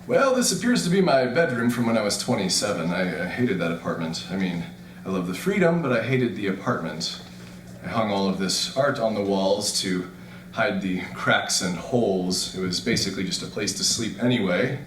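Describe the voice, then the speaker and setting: A man playing a bewildered, weary man in a naturalistic audio drama, hesitant and halting. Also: on the low side, lively intonation, echoey room, close to an everyday voice, low-quality recording. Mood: wistful, puzzled. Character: bewildered, weary dead man revived inside a simulation.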